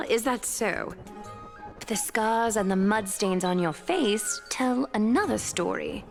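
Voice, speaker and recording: Slow, poised noblewoman. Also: a little high, sing-song, soft background music, heavily acted. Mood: suspicious, composed. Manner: poised, measured, gently knowing. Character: elegant, composed, wealthy noble seamstress woman. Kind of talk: video game voice acting.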